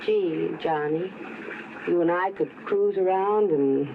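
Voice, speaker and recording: An actress playing a playful, coaxing, flirtatious rich yacht owner in a 1950s radio drama, with a coy manner. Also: female voice, on the low side, takes it slowly. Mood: coy, confiding. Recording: muffled, noisy vintage radio recording.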